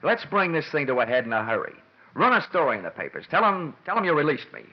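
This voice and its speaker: Wisecracking hardboiled radio detective, animated. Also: male voice, on the high side, fast talker, dry recording. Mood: urgent, wry. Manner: sardonic hardboiled delivery, slangy quips. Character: wisecracking, cocky male freelance insurance investigator. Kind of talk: 1940s-50s radio detective drama acting. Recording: dull vintage radio recording, music behind.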